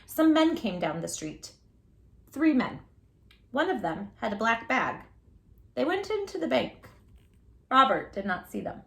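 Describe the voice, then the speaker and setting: A woman reading a children's picture book aloud as a storytime host, theatrically, with drawn-out words and little asides to the listener. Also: a little high, very animated, vocal fry, a bit bright.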